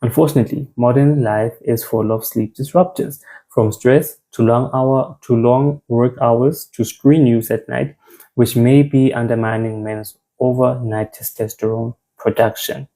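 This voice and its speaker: A man's voice: medium pitch, steady tone, unhurried, warm tone. A young South African man giving an informal men's health talk, conversational, repeating phrases and restarting words.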